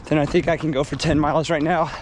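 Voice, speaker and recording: A man's voice: little pitch movement, quick pace. A man in his thirties, losing his voice, talking casually and thinking aloud while reviewing running shoes outdoors on an action camera. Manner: low-key, thinking aloud. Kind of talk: casual vlog commentary. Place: outdoors on a porch and dark streets. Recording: camera audio with loud outdoor noise.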